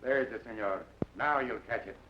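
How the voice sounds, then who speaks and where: A man's voice: mid-range voice, normal speed, distant mic, natural voice. An actor playing a stern male bandit captain with a clipped, formal manner in an old-time radio drama. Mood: scornful. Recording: muffled, echoey, hissy old radio recording.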